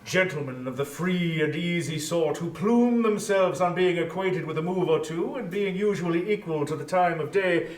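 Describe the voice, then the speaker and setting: A man narrating a classic story as a dramatic reading, measured and formal. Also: sits high. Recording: roomy echo, distant microphone.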